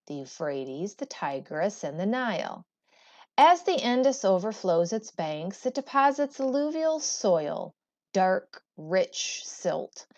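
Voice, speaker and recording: A woman teacher reading a textbook aloud in a deliberate, expressive way, with brief explanatory asides. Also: mid-range voice, muffled recording.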